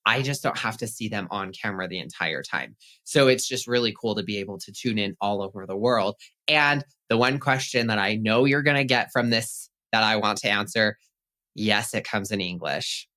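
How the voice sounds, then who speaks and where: A chatty, upbeat man speaks casually as a podcast guest. His voice sits somewhat low yet sounds bright and forward. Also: moderate pace. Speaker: pet psychic guest.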